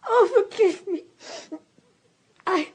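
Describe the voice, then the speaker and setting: An actress playing a fragile, distraught countess of thirty-nine in a radio drama, halting, emotional and apologetic. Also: female voice, extremely high pitch, animated, darkish tone, heavily acted. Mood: tearful. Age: 30s.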